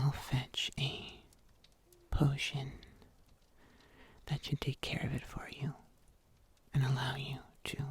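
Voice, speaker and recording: An ASMR performer playing a kindly huntsman healer in a whispered fantasy roleplay, soothing and breathy, with old-fashioned phrasing. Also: mid-range voice, full character voice. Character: kindly fairy-tale huntsman healer, caring and gently wry.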